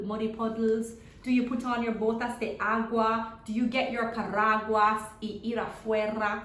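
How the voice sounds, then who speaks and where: A woman reading a children's story aloud expressively, pausing to put simple questions to her young listeners. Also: a little high, fairly bright tone, echoey room, slight background noise. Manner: asks simple, repeated questions as to small children.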